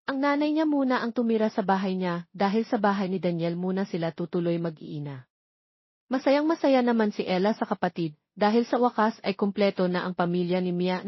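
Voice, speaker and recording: Woman, Filipino accent, audiobook narrator, scripted romance storytelling, medium pitch, slightly lo-fi. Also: fairly quick, dry recording. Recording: slightly dull, lo-fi recording.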